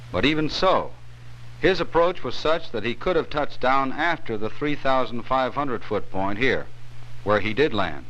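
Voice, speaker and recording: A man with an American accent gives scripted instructional narration for a 1950s training film, in a measured, authoritative way. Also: mid-range voice, fairly bright tone. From United States. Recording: dull, noisy 1950s film soundtrack.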